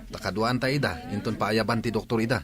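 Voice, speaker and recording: A man's voice: lowish voice, fairly quick, fairly bright tone, lightly acted. An actor playing a reassuring village husband and father in an Ilocano radio drama. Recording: busy crowd noise behind him.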